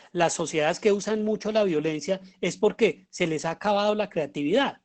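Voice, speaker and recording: A man with a Colombian accent giving an explanatory lecture as a teacher, often pausing and posing rhetorical questions. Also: sits high. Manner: halting, with pauses and rhetorical questions. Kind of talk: explanatory lecture on writing audio fiction.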